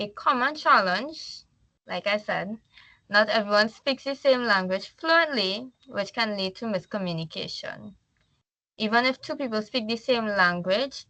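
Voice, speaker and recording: A woman with a Trinidadian accent walks a class through her slides. She reads each point, then loosens into casual explanation. Her voice is bright and forceful, recorded dry with no room echo. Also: mid-range voice. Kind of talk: slide presentation.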